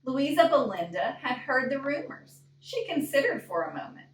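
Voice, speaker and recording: A woman reading a picture book aloud in an expressive storytime style, deliberate and often putting questions to young listeners. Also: sits high, a little hiss or hum. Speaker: librarian reading a storybook to children. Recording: echoey room, distant microphone.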